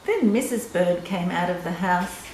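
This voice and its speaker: Slow-paced older woman reading a story. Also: lowish voice, lively intonation. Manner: simple, short phrases with chatty asides to young listeners. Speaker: storyteller reading a picture book to children. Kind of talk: read-aloud story with casual asides. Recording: roomy echo, faint background noise.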